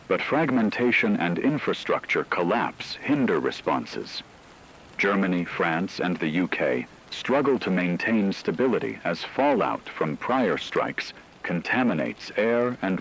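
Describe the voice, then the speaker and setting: A man's voice: low-pitched, sing-song, a little slow, a bit bright, a little hiss or hum, heavily acted, low-quality recording. A man playing a solemn radio anchor, reading a portentous scripted dramatic news report about a catastrophe. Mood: grave, solemn. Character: grave male radio anchor reporting nuclear war.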